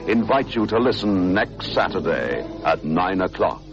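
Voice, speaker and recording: A man's voice: low voice, animated, a little slow. A man announcing a radio thriller series and its sponsor in a formal, portentous old-time radio style. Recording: dull vintage radio recording. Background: loud music.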